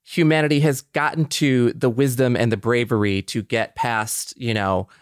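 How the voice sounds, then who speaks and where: Man, mid-pitched voice, podcast co-host, conversational, dry close recording. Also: fairly even intonation, moderate pace, clean tone, no echo. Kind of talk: podcast introduction and discussion.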